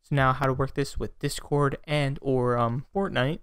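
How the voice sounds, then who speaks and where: A young man casually narrating a software tutorial as its host, close on a desktop microphone. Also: mid-range voice, steady tone, moderate pace, smooth, dry recording. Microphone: close USB desktop microphone.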